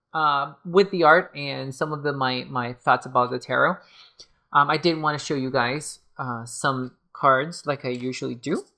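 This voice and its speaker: A woman's voice: deep voice, fairly bright tone. A woman giving a casual video review, talking hesitantly with many fillers and restarts as she loses her voice. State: losing her voice. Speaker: tarot deck reviewer.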